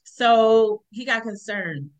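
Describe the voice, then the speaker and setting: A woman from Houston, Texas, a podcast guest calling in remotely, telling a personal story in an animated way and acting out what people said. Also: a little high, slow, muffled recording. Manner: animated storyteller, acts out quotes.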